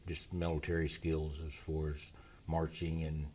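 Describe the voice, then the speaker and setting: An older man from Indiana speaks in a very deep, low voice that barely rises or falls. He talks slowly and in a measured way, pausing to recall things as he answers interview questions about his past. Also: completely flat delivery, warm tone, slightly rough. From Indiana, USA. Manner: slow and measured, pauses to recall. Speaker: interview guest, military veteran. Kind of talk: relaxed reminiscing interview.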